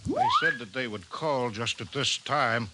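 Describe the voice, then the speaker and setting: An actor in a 1950s radio drama playing a fretful, eager-to-please small-town mayor who hesitates and repeats himself. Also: male voice, mid-range voice, huge pitch swings, a little slow. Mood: anxious, coaxing. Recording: hissy, bright 1950s radio recording.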